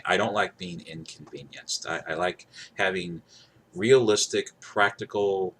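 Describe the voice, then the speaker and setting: A man with a very deep voice talks casually and off the cuff, as if showing off gear at home. He hesitates, doubles back and repeats himself, with some noise behind him. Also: fairly bright tone. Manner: hesitant, rambling, self-correcting and repetitive. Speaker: vlogger showing his weather radios. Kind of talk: casual unscripted show-and-tell. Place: indoors at home. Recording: clearly noisy home recording.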